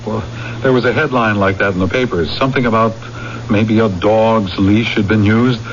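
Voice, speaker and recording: A man's voice: lowish voice, fairly even intonation, slight background noise, natural voice. An actor playing a polite young killer who coolly narrates his crimes in a 1970s radio drama. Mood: polite, obliging. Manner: measured, deferential in dialogue, cool in confession. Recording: muffled old radio recording, loud music behind.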